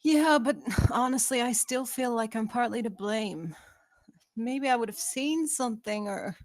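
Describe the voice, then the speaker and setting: A woman's voice: on the high side, unhurried, no room sound, natural voice. A woman playing a troubled, guilt-ridden young woman who is earnest and determined, in an informal screenplay table read. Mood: helpless, guilty.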